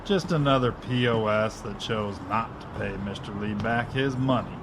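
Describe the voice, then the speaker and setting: A low male voice from an actor plays a businesslike enforcer in an audio drama, nagging his partner. Loud background noise surrounds him. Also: moderate pace, lightly acted. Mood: gruff.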